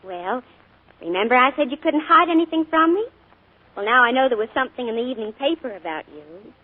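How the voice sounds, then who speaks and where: Earnest, prying young niece; high, bright-toned voice, thin 1940s broadcast sound. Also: female voice, expressive, a little fast. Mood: eager. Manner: eager and insistent. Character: earnest, curious young woman, the uncle's niece. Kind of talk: 1940s radio acting. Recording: tinny old radio broadcast.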